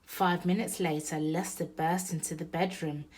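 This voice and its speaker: A woman's voice: on the low side, fairly even intonation, normal speed, darkish tone. A woman storyteller reading a picture book aloud expressively for young children.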